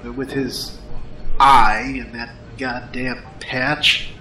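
An actor playing a hard-nosed, short-tempered commander, terse and deliberate, in an action audio drama. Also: male voice, medium pitch, little pitch movement, pushed and bright. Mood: brooding. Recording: echoey room, faint noise.

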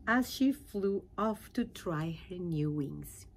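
A middle-aged woman reading a children's storybook aloud in an animated way, with dramatic pauses and questions to her listeners. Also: on the low side, slight background noise.

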